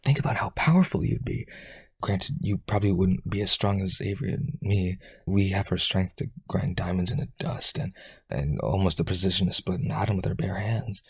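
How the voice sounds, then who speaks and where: A man playing a powerful, rich vampire aristocrat, desperately devoted, with breathy, raspy, intense and coaxing speech in a roleplay audio. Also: sits low, darkish tone, dry recording, studio quality, exaggerated voice. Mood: boastful, coaxing. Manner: intense, coaxing, begging, halting.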